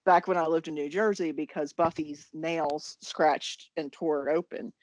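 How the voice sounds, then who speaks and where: A woman's voice: low-pitched, steady tone, moderate pace, darkish tone. An American woman chatting casually as co-host of a knitting podcast.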